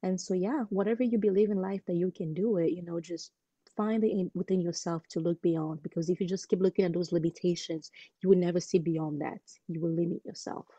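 A woman's voice: lowish voice, fairly quick, husky, no room sound, dull audio. Earnest young woman on a video call. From Congo. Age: 20s. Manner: earnest, animated storytelling with many fillers. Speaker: guest, pageant titleholder. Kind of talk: casual interview over video call. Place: home room indoors.

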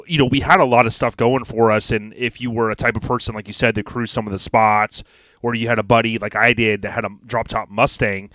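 A man hosting a casual podcast, talking in a relaxed, chatty, friendly way. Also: lowish voice, a little fast, no echo. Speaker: podcast host.

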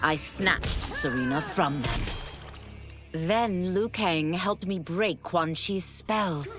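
A woman's voice: on the low side, lively intonation, takes it slowly. An actress playing an earnest, redeemed warrior woman, narrating solemnly and with feeling for a video game. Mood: earnest, tender. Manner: solemn, heartfelt. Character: earnest, redeemed female warrior devoted to her sister. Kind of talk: scripted game story narration. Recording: loud music behind the voice.

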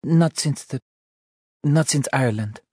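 A man reading an audiobook voices an anxious, tearful wife, raising his pitch well above his own and speaking in an emotional, pleading way. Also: unhurried, warm tone, slightly rough, no room sound, clean studio sound. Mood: tense.

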